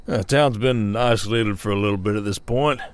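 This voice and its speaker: An actor playing a world-weary, gruff werewolf sheriff with an American Western drawl, folksy and scolding, in an ASMR roleplay. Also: male voice, on the low side, normal speed, no room sound, close to an everyday voice. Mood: weary, explaining. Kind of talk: ASMR roleplay acting, Old West.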